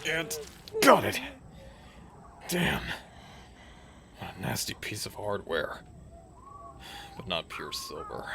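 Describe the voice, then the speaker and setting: A man playing a smug, flirtatious male werewolf alpha with a low gravelly voice, in a slow, teasing, theatrical romantic audio roleplay. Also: wildly swooping pitch, background noise, heavily acted. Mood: wry, tending.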